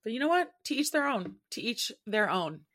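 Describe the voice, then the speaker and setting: A woman in her thirties co-hosting a podcast in casual chat from home, chatty and expressive, often laughing. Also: fairly high pitch, normal speed. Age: 30s. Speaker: podcast co-host.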